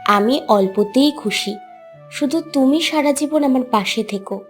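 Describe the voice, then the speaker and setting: A woman's voice: high-pitched, a little slow, soft background music. A woman playing a devoted, earnest young daughter in a cartoon story, speaking tenderly and pleadingly. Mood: content, tender.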